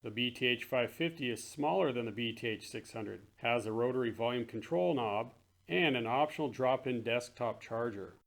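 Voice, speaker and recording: A man with a mid-pitched voice presents products for a promotional video. He speaks as a straightforward company presenter, explaining things plainly. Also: moderate pace, creaky. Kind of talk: scripted product narration, later casual demo chat.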